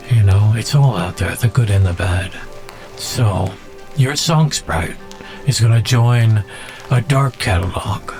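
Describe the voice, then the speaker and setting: A man hosting in a casual, reflective monologue, speaking in a halting, whimsical way with pauses. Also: lowish voice, rough recording. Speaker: contest organizer and show host.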